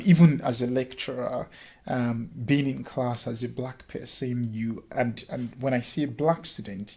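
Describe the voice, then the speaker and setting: Gravelly Nigerian man, earnest and halting. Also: medium pitch, darkish tone. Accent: Nigerian with a light Scottish touch. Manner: earnest, many ums and restarts. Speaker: interviewee, lecturer and former councillor. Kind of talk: reflective interview answers.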